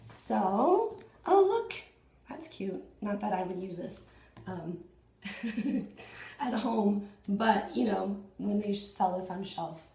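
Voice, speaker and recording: A woman in her thirties, hoarse and husky after losing her voice, chatting excitedly to viewers in a casual unboxing vlog at home. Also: medium pitch, slow, roomy sound. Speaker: fitness vlogger. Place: small indoor room at home.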